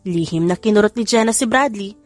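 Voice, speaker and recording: A woman's voice: mid-range voice, a little fast. A woman with a Filipino accent narrating a scripted story as an audiobook reader. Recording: background music under the voice.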